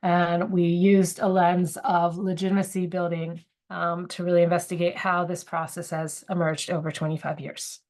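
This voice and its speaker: A woman's voice: fairly low pitch, flat delivery, normal speed, a little mellow. A woman with a cold giving a webinar lecture, a little sniffly, thoughtful, with frequent fillers and restarts. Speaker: academic presenter. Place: university.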